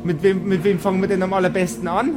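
A man improvising narration as a tabletop game master, halting and repeating his words. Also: high-pitched, level tone. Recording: clearly noisy background ambience.

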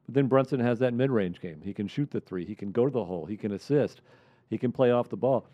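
A man's voice: medium pitch, fast talker, dark tone, no room sound, lo-fi sound. A man hosting a sports roundtable in a conversational way. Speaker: host of a sports media roundtable.

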